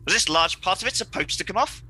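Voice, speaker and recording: An actor playing a petulant, melodramatic villain spiralling into cape-swirling evil in a comic radio play. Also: male voice, sits high, animated, fast talker, sharp tone, slightly rough, heavily acted. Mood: fussy, irritated. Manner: melodramatic, fussy.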